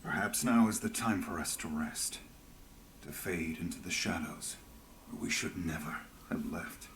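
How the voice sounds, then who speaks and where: An actor playing a weary, disillusioned rebel veteran in a fantasy video game, speaking in a grave, measured way. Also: male voice, sits low, crowd noise. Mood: weary, resigned. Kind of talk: dramatic fantasy game voice acting.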